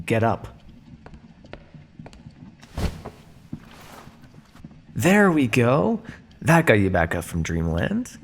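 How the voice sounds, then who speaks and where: A man acts out a condescending vampire scientist for an ASMR roleplay, his pitch swinging freely over a creaky voice. He drawls with theatrical mock politeness and teases his captive with sardonic ease. There is a faint noise behind him. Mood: brisk, impatient. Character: male vampire scientist captor, smug, teasing, coolly condescending. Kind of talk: ASMR roleplay monologue addressed to listener.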